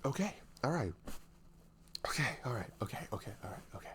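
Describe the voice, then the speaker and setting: A man playing a nervous, dorky best friend confessing love in an intimate ASMR roleplay, halting and stammering, with sighs and soft breathy moments. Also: mid-range voice, big pitch swings, slight background noise, lightly acted. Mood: shyly eager. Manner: halting, stammering, sighing, breathy laughs, often dropping to whispers. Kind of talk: roleplay ASMR audio drama, intimate and conversational.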